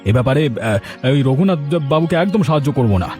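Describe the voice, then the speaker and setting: A man's voice: mid-range voice, sing-song, normal speed, a little mellow, soft background music, lightly acted. A man voicing an earnest, repentant village man in a cartoon, emphatic and resolute. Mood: regretful, resolute. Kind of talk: cartoon dialogue.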